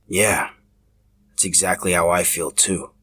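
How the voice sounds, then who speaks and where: A shy, brooding young man from a narrated story, acted out by a male reader. His voice is low and soft-spoken. He confides tenderly, with some hesitation. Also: flat delivery, measured pace, slight background noise. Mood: tender, hesitant.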